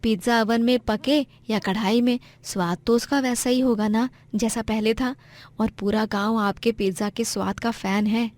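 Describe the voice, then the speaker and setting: A woman's voice: on the high side, fairly even intonation, moderate pace, a little mellow, natural voice. A woman playing a supportive, reassuring wife and mother, encouraging and respectful, in a moral story.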